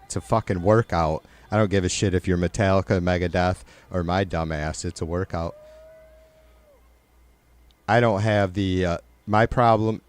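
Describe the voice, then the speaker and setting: A middle-aged man with a nasal, raspy voice, hosting a livestream and chatting loosely with viewers, rambling and swearing. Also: low-pitched, fairly even intonation, moderate pace. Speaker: livestream host. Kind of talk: casual chat with live viewers.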